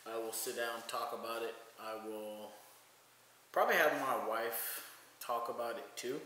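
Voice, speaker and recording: A man's voice: medium pitch, measured pace, bright, forceful tone. A man in his thirties casually vlogging at home, rambling with lots of fillers, filmed on a handheld phone camera. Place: home bedroom and living room, indoors. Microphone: handheld phone camera at arm's length. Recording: very echoey bare room, faint noise.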